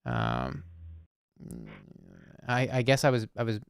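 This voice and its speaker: A man hosting an anonymous call-in circle, speaking softly and searchingly with many pauses and fillers. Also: mid-range voice, big pitch swings, vocal fry, clear, resonant tone, dry recording, studio quality. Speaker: host. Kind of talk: listening call-in conversation.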